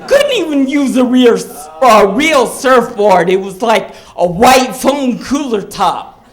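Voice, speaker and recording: A male stand-up comedian from Hawaii telling jokes on stage in a halting way, with restarts and chuckles. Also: very high voice, very animated, a faint crowd.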